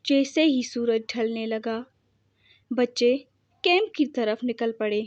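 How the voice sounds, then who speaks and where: Woman, high voice, dry sound, scripted children's story narration. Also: takes it slowly, a little mellow, no echo, highs cut off.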